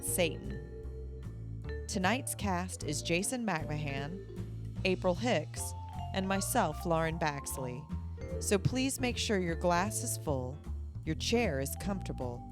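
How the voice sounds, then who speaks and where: Low-voiced woman hosting, music behind. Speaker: radio troupe host. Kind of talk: scripted introduction, formal narration.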